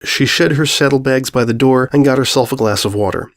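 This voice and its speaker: Male storyteller, mid-pitched voice, for scripted fiction narration. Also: brisk.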